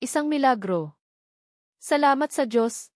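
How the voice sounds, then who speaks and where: A woman's voice: high-pitched, animated, measured pace, a little mellow, no echo, voice pushed up. Gushy, anxious young woman, secretly false friend in a story drama, voiced by a woman reader, dry recording. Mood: overjoyed, hysterical.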